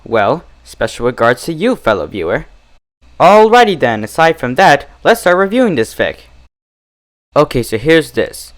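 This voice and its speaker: A man presenting scripted fan fiction readings and reviews as host. His pitch moves in a very lively way, and his delivery is deliberate and expressive. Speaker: story narrator and reviewer, channel host.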